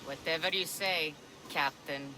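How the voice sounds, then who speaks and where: A woman's voice: sits low, unhurried, background noise. An actress playing a blunt, sardonic, foul-mouthed female pirate ship captain in a video game cutscene. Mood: resigned, wry.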